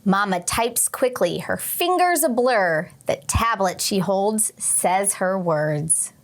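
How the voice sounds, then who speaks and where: Female teacher, very unhurried delivery, mid-range pitch, expressive children's read-aloud addressed straight to young listeners. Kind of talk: children's picture book read-aloud with short teaching asides.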